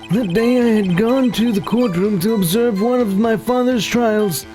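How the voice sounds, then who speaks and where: A man voicing a solemn, refined, guilt-ridden prosecutor in a video game, speaking formally and gravely. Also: high-pitched, normal speed, close to an everyday voice. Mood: grave recollection. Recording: loud game music underneath. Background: video game music.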